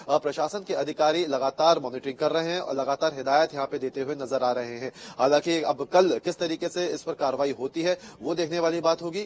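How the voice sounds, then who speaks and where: Halting Indian male reporter, highish voice. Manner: halting, with very frequent filled pauses. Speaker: news reporter. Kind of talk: unscripted live news report.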